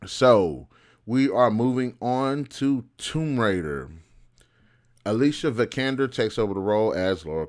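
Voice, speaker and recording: A man with a fairly low voice talks off the cuff as a commentator. His delivery is casual and blunt, with strong opinions and points said over again for emphasis. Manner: blunt and opinionated, with mild swearing. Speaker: host and commentator. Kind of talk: casual unscripted film commentary.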